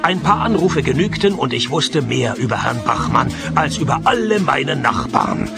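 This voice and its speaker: An actor playing a sardonic, hard-up private detective in a deadpan radio play, narrating and trading dry wisecracks. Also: male voice, mid-range voice, moderate pace, creaky, music in the background. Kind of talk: radio play dialogue and first-person narration. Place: radio drama studio.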